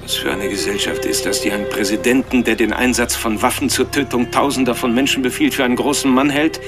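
An actor in a radio drama playing a taunting, intellectual serial killer, with a mocking, theatrical way of drawing out phrases. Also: male voice, mid-range voice, fairly even intonation, over music, natural voice. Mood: cool, arguing. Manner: mocking, theatrical, mannered, teasing, drawn-out phrasing.

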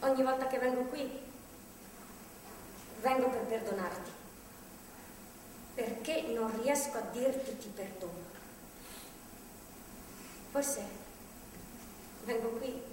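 An actress on a theatre stage playing a chatty, nervously cheerful visitor who rambles with hesitant asides. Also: female voice, on the high side, slow, long pauses, a little mellow. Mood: subdued, troubled. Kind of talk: live stage acting, monologue-like chatter. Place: theatre stage, picked up from far off. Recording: distant hall recording, echoey, faint noise.

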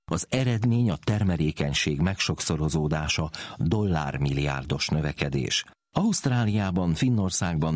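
A man's voice: very deep voice, a little mellow, dry recording, clean studio sound. A man reading scripted documentary narration as the narrator of a programme.

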